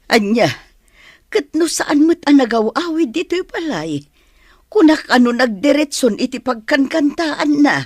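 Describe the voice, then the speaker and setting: A woman's voice: sits high, lively intonation, measured pace, no echo, clean studio sound. A woman playing an anxious older mother, fretful and questioning, in a Filipino audio drama.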